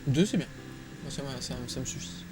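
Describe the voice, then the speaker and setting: A man talks casually through a screen-recorded tutorial. His pitch is middling and he often mutters to himself in slangy, informal phrases. Loud music plays behind his voice. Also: expressive, fairly quick. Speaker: drawing tutorial presenter. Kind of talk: casual screen-recorded tutorial, thinking aloud while drawing. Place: small room at home, indoors.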